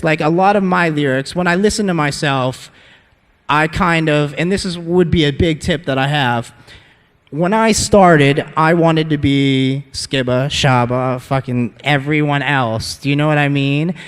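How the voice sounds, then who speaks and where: A man's voice: fairly high pitch, normal speed, clear, resonant tone. A man, an MC giving a workshop, talking loosely to an audience with many fillers. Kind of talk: casual talk to an audience. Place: conference hall. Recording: reverberant hall.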